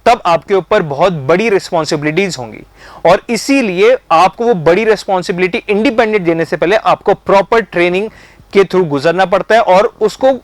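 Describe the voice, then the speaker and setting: A man's voice: sits high, moderate pace, no room sound. A man with an Indian accent giving an explanatory lesson as an instructor, going step by step and mixing in English terms. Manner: methodical, explains step by step, repeats check phrases; mixes English terms into speech.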